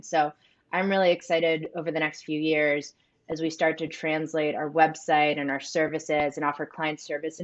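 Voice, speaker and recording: A woman's voice: deep voice, muffled recording. A woman whose first language is Spanish, a company co-founder answering interview questions earnestly, with frequent "um" and "like". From United States. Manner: earnest, reflective, frequent fillers like "um" and "like".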